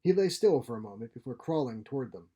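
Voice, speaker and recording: A man's voice: medium pitch, lively intonation, quick pace, mellow, slightly rough, lo-fi sound. A man giving a scripted narration of a science fiction short story. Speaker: author reading own short story.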